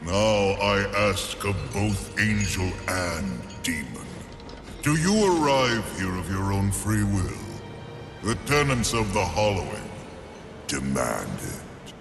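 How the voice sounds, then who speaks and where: Gravelly, slow underworld lord in cavernous reverb. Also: male voice, low voice, very animated, over music, exaggerated voice. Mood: solemn, commanding. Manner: grandiose, measured, contemptuous. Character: ancient imperious male underworld lord, disdainful and solemn. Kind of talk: theatrical fantasy game cutscene acting.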